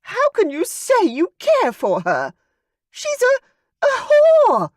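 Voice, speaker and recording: A shrill, tearful duchess, her voice leaping sky-high into ugly, frantic screams. The pitch swings wildly and the delivery is close and dry. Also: wildly swooping pitch, slow pace, no room sound, exaggerated voice. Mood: screaming. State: crying. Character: shrill aristocratic woman, a duchess, hysterical and sobbing. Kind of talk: audiobook character voice.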